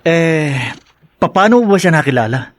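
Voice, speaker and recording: A teasing, blunt young buddy with a fairly high male voice, as an actor plays him in a radio drama. He jokes around and speaks his mind, recorded close and dry. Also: lively intonation, normal speed, no room sound, lightly acted.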